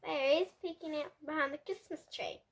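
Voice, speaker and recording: A young girl reading a picture book aloud as host, with expressive, dramatic storybook phrasing. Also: sits high. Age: child. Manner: slow, deliberate, dramatic phrasing.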